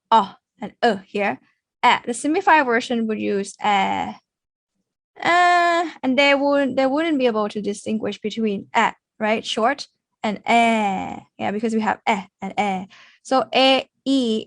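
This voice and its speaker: Young woman, language teacher, higher-pitched voice, conversational explaining, demonstrates sounds, dry close sound, video call presentation. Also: dry recording. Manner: demonstrates sounds slowly with repetition.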